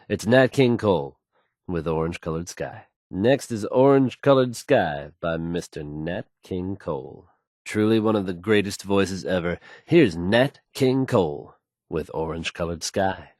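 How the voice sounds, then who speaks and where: An actor playing a confident, smooth-talking radio DJ in a game, delivering fluent and assured announcements. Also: male voice, deep voice, lively intonation, a little slow, darkish tone, no room sound, clean studio sound. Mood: upbeat.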